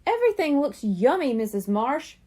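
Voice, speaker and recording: A woman's voice: high-pitched, very animated, normal speed, dark tone, breathy edge, heavily acted. A woman voicing a sweet, earnest young girl kitten in a children's storybook.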